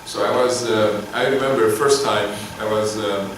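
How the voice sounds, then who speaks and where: A middle-aged man from Turkey, giving an informal university lecture in a halting, filler-heavy way with self-deprecating humor. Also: fairly low pitch, fairly even intonation, distant mic, noisy background. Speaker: guest lecturer. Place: large lecture hall. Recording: echoey hall heard from afar.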